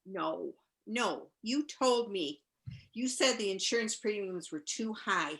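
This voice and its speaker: Woman as a bright-voiced, long-suffering wife. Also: medium pitch, lively intonation, slow pace. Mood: apologetic, insistent. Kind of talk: campy 1940s radio noir acting.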